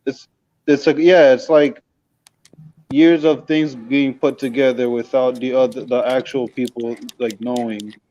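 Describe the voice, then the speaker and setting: Podcast guest, soft-spoken man, mellow dark tone, hesitant delivery that trails off. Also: mid-range voice, steady tone, unhurried, rough recording. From Dominican Republic heritage. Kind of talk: casual podcast discussion.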